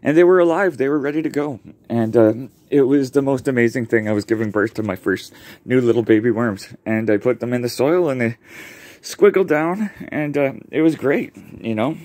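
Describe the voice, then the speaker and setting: A man's voice: medium pitch, normal speed, darkish tone, dry recording. A man casually explaining his home worm bins while filming his hands, rambling with lots of ums and self-corrections. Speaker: home worm composting vlogger. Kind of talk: casual show-and-tell demonstration. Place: basement, indoors. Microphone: handheld camera mic, filming his own hands.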